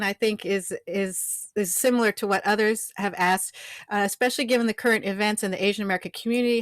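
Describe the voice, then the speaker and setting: A woman's voice: medium pitch, a bit bright, no room sound, clean studio sound. A woman moderating an online Q and A, chatty and loose as she reads audience questions. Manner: chatty with many fillers and restarts. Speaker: event moderator.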